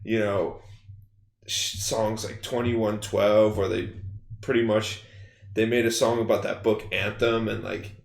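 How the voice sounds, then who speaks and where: Young rapper guest, deep-ish gravelly voice, loose rambling podcast chat in a reverberant room. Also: male voice, flat delivery, a little slow. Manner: laid-back, rambling, swears a lot. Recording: echoey room.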